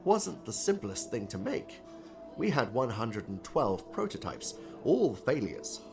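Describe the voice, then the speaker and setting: An actor playing a proud, eager male inventor in an audiobook drama, with an earnest, expressive delivery. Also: mid-range voice, a little slow, creaky. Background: soft background music.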